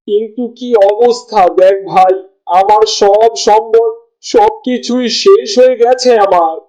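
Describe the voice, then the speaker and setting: A man's voice: very high voice, dark tone, highs cut off. A man voicing an anguished poor village farmer, a husband and father, in a cartoon with plaintive, lamenting delivery. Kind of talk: cartoon voice acting.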